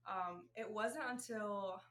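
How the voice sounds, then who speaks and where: A woman's voice: mid-range voice, takes it slowly, a bit bright. A young woman with a Puerto Rican accent, chatting casually as a guest on a podcast, laughing often and using many fillers. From Puerto Rico. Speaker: guest counselor. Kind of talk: casual chat.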